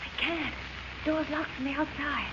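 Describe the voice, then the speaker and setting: A woman's voice: high voice, a little slow, muffled recording. An actress playing an anxious, plucky young woman captive with an urgent manner in a 1940s radio adventure, on an old hissy recording. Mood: hushed, anxious.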